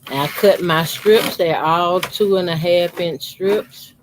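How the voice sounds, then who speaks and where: A man in his fifties, a quilting channel host, calmly talking through a how-to demonstration at his sewing machine with his voice deliberately kept low. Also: noisy background. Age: 50s. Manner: talks unhurriedly while sewing, deliberately keeping his voice lowered.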